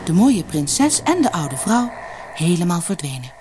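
A woman narrating a fairy tale for an audiobook in an expressive, dramatic storytelling manner. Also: fairly low pitch, measured pace, a little hiss or hum.

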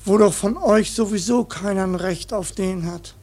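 An actor in a radio play voicing an ancient, stubborn ship's cook who preaches piously and grumbles with curses in a husky voice. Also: male voice, high voice, normal speed, darkish tone. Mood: preachy, exasperated. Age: older. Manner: grumbling mock-preacher, swears constantly. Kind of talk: German radio play acting.

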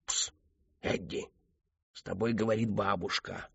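Guy doing a sneaky robot cat grandma, hushed husky whisper, conspiratorial, storybook style. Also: on the low side, steady tone, unhurried, dry recording, highs cut off, heavily acted. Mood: secretive, warning. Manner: conspiratorial, instructing.

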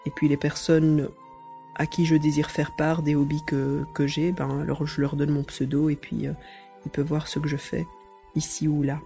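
A woman's voice: sits low, flat delivery, moderate pace, vocal fry, dark tone, faint music behind. A woman answering as an interview guest in a conversational way.

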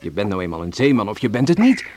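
An actor playing a proud, teasing young sailor who has come home, in a Dutch radio play. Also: male voice, on the low side, big pitch swings, quick pace. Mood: earnest. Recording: noisy old radio drama recording.

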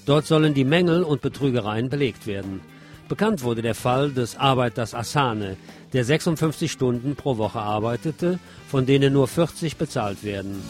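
A male news presenter reads scripted reports in an emphatic, slightly wry way. His voice sits at an average male pitch. Music with drums and guitar plays clearly behind him.

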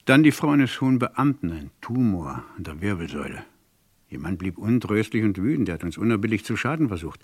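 Brief: a hospital physician, raspy and low, theatrical, expansive, dry close recording, radio crime play. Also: male voice, very animated, brisk, no room sound. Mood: expansive, grave. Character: opinionated male hospital doctor recalling failed operations.

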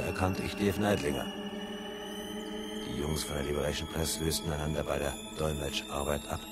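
Really deep-voiced guy narrating a 70s sci-fi radio play, measured, electronic music underneath. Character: male first-person narrator of a science fiction radio play. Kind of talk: scripted narration, 1970s German radio drama.

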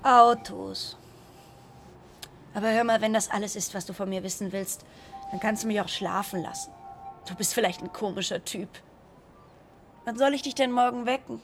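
A woman's voice: mid-range voice, normal speed, long pauses, lightly acted. An actress playing a worldly, wry young Parisian woman of the night in a German radio play, teasing and questioning in a casual way. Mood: irritated, wry. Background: music.

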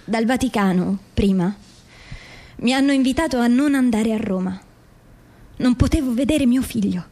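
A bereaved mother in a radio drama, her voice on the high side. She testifies with indignant insistence, determined to get at the truth about her son. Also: a little mellow. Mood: bitter, indignant. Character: grieving, resolute mother seeking truth about dead son.

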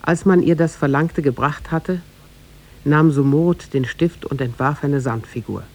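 A man narrating a tale in a scripted, measured and formal 1950s radio drama style. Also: on the high side. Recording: noisy 1950s radio recording.

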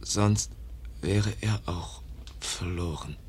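An actor playing a gentle, dying young man in a radio play, weak, exhausted and halting, half whispering. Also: low voice. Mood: weak, resigned. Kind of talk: German radio play acting. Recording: noisy radio drama recording.

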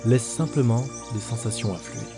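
A man playing a reserved, helpful ranger in a fantasy audio drama, with a calm, instructive delivery. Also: medium pitch, moderate pace, over music, natural voice. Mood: quiet, guiding. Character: male ranger player in a fantasy game world, gruff but helpful.

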